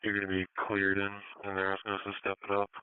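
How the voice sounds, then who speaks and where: A man's voice: lowish voice, monotone, quick pace, clean tone, no echo, phone-quality sound. A man delivering terse emergency radio traffic through a two-way radio, heard on a scanner feed. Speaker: emergency services radio operator.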